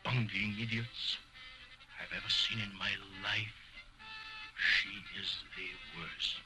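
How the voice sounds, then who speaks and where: An actor playing an arrogant, quick-tempered male ventriloquist in an early talkie film, boastful and scolding. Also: fairly low pitch, fairly even intonation, over music, rough recording.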